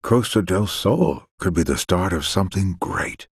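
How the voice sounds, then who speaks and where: A man's voice: low-pitched, animated, moderate pace, breathy edge, no room sound, studio quality, heavily acted. An actor playing a seasoned, reflective male sailor in a video game. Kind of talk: video game character dialogue.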